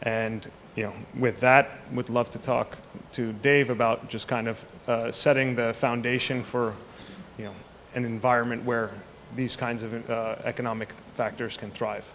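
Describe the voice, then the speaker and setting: A man's voice: medium pitch, level tone, normal speed, a bit bright, long reverb tail, slight background noise. A man moderating a conference panel, asking loose questions full of fillers. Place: conference hall.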